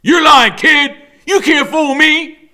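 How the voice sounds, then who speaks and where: Man acting a menacing, domineering father, sharp and forceful. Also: very high voice, slow pace, voice pushed up, exaggerated voice. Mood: angry, yelling. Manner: threatening, scornful, domineering. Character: menacing violent father, cold killer. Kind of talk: dramatic audiobook character reading.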